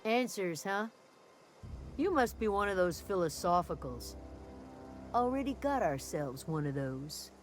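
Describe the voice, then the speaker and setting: An actress playing a wary, gruff camp guard in a frontier video game, with curt, folksy speech. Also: female voice, lowish voice, expressive, measured pace, long pauses. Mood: suspicious, curt. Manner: curt, folksy drawl, suspicious. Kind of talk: video game character dialogue. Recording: music behind the voice.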